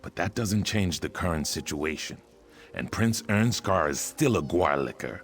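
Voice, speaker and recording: Deep, solemn reptilian warrior. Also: male voice, lively intonation, fairly bright tone, full character voice. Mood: bitter, proud. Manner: solemn, deliberate, resentful. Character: proud, aggrieved male reptilian warrior from a swamp people. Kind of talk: video game character dialogue. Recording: music behind the voice.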